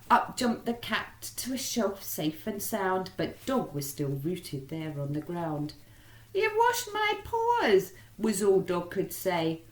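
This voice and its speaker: Children's storyteller: female, theatrical and highly varied in pitch, slightly low voice, echoey room. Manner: animated, theatrical. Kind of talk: expressive rhyming storybook narration.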